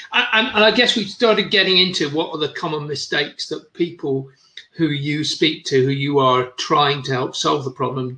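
A man's voice: on the high side, sharp tone, rough recording. A middle-aged man hosting an interview, enthusiastic and agreeable, often repeating words. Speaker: podcast host. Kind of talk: casual interview talk.

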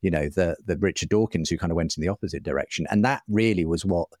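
A man's voice: low voice, fast talker, darkish tone, no echo, clean studio sound. A middle-aged man giving an earnest, articulate, discursive explanation in conversation as an interview guest. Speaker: interview guest, writer and broadcaster.

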